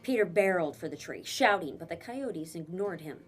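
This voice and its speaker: A woman, a teacher, reading a children's novel aloud in an expressive, dramatic way with emphatic phrasing and pauses. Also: fairly low pitch, slightly rough. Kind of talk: expressive children's storybook narration.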